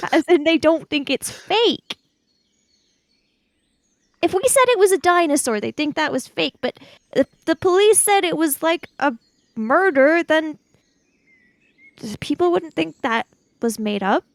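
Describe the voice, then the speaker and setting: High-pitched, animated woman. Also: measured pace, pauses often, no room sound. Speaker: player in an actual-play tabletop podcast. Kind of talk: casual, joking table talk.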